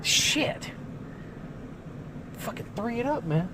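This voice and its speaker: A man filming from inside a car, joking in an excitable, profane way and swinging between loud outbursts and low mutters. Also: slow. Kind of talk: casual joking commentary. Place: inside a vehicle. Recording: noisy car cabin recording.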